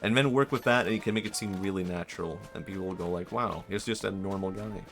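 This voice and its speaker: A man's voice: low-pitched, fast talker, gravelly. A young man streaming live, talking casually and hesitantly, with many fillers, restarts and self-mocking asides. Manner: self-mocking, laughs softly. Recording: faint music behind.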